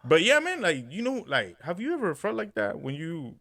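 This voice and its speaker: A young man co-hosting a podcast, telling a dream story in an animated way, laughing and acting out what people said. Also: sits high, moderate pace, creaky. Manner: animated, laughing, acts out quotes. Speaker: podcast co-host. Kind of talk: casual chat, storytelling.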